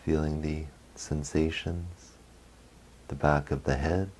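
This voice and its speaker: A man leading a guided meditation as a guide, speaking gently in short phrases with long pauses. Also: a bass voice, steady tone, a little hiss or hum.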